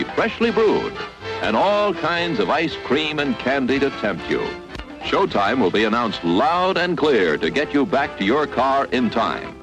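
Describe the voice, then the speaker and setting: American male ad announcer, unhurried, very animated pitch, vintage drive-in style, prominent music. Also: low-quality recording. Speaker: advertising announcer. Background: loud music.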